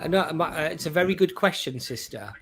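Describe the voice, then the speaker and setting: A man's voice: on the high side, expressive, brisk, music in the background. A man hosting a religious discussion, answering a caller earnestly and explaining at length, with frequent "uh" and "you know". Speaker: host and Islamic speaker.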